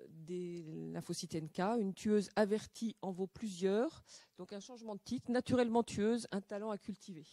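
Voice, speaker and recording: Woman host, mellow voice, slow hesitant intros, dry close sound. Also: medium pitch, no echo. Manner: halting, many fillers, polite. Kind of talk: event introduction. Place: lecture hall.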